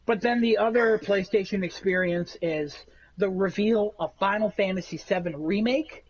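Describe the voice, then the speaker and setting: Nasal man telling animated stories. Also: sits high, moderate pace, muffled recording. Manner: rambling, animated storytelling. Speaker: podcast co-host. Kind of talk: casual chat among friends.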